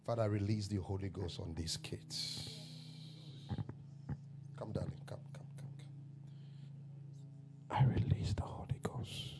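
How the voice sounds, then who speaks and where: A man from Ghana with a Ghanaian accent, a pastor calling himself a prophet, praying over people in a church service with slow drawn-out declarations that swell into loud shouts. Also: deep voice, in a whisper. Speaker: pastor, self-styled prophet.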